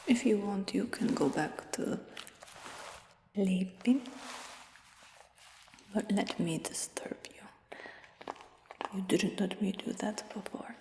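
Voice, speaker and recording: A woman playing a smug, doting human dragon hunter in a fantasy ASMR roleplay, whispering in a coaxing, gently boastful way. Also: medium pitch, slow pace, vocal fry, long pauses. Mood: coaxing, pleased. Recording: echoey whisper with long cave-like reverb.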